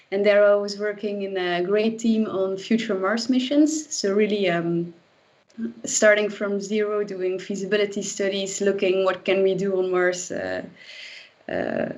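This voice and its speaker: A woman in her twenties from Belgium, a guest casually introducing herself on a livestream over a lo-fi online call. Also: mid-range voice. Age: 20s. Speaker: guest, young trainee engineer. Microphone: video call microphone. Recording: dull lo-fi online call audio.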